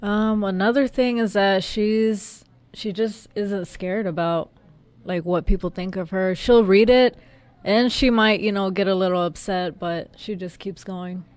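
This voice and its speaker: A woman, co-hosting a casual podcast and chatting in a relaxed way. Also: medium pitch, measured pace, smooth, no room sound. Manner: relaxed, lots of affirming murmurs. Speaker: podcast co-host.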